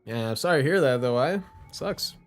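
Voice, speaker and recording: A man's voice: medium pitch, lively intonation, a little fast. Slangy male streamer with game music behind. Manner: slangy, offhand, swearing. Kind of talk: casual livestream reactions and chat. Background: background game music.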